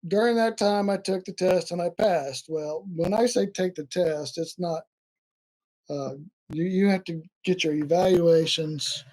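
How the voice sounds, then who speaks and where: A man's voice: sits high, a little slow, darkish tone, low-quality recording. A man who is a Navy veteran, telling his service stories in a casual interview and rambling as he loses his thread.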